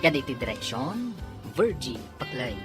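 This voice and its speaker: A woman's voice: rumbling low, very animated, a little slow. A woman with a Filipino accent announcing a radio drama in a dramatic, scripted style. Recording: loud music under the announcement.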